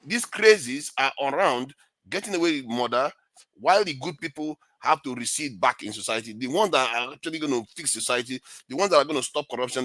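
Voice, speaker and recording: A Nigerian man on a panel talk, speaking forcefully and with a mocking edge. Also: medium pitch, dry recording. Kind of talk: heated panel discussion.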